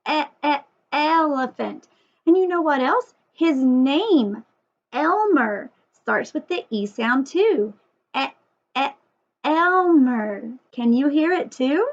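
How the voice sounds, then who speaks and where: A woman teacher leading a story time lesson for young children, asking lots of questions and emphasising key words. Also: sits high. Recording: dull lo-fi sound.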